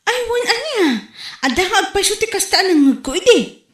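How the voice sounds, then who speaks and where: Babaeng mataas ang boses, nagtsitsismis. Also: female voice, very high voice, sing-song, a little fast, roomy sound. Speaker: actor in comedy drama. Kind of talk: comedic audio drama acting, gossiping.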